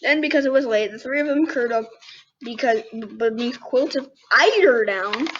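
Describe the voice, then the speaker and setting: A woman's voice: on the high side, moderate pace. A girl of about ten hosting a storybook read-aloud, breaking off for candid, casual asides. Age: child, about 10. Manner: candid, self-interrupting commentary. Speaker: young host reading children's books. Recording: dull lo-fi sound with faint noise.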